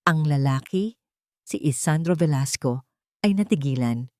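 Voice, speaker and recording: A woman narrates a romantic drama in scripted storytelling style. Her voice sits on the low side for a woman. The recording is close and dry, with no room sound. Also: expressive, a little slow, a little mellow, dry recording.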